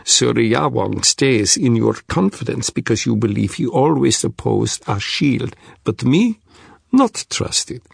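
A man's voice: mid-range voice, animated, slow pace, gravelly, slightly rough, no room sound, studio quality, full character voice. A man playing a wry young Russian strategist in an audiobook, with a thick Russian accent and clipped, sardonic phrasing that drops articles. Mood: wry, guarded. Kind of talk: audiobook character dialogue.

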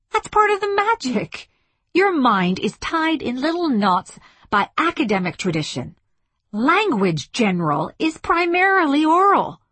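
A woman playing combative woman scholar, emphatic, lecturing, passionate, swooping pitch, slightly husky, audiobook drama. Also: no room sound. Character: passionate, combative female academic linguist.

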